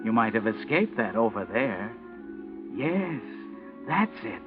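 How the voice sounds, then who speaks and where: An actor playing a taunting, urgent narrator in a 1940s radio crime mystery. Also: male voice, fairly low pitch, big pitch swings, takes it slowly. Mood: ominous. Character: ominous, taunting male narrator of a crime tale. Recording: tinny 1940s radio recording, music behind.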